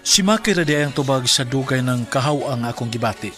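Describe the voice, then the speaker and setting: An actor playing a young lovestruck Cebuano man in an emotive radio drama, with a husky voice and a Cebuano accent. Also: medium pitch, animated, a little fast, fairly bright tone, faint music behind, lightly acted. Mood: earnest, content. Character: young lovestruck Cebuano man confessing and narrating his story.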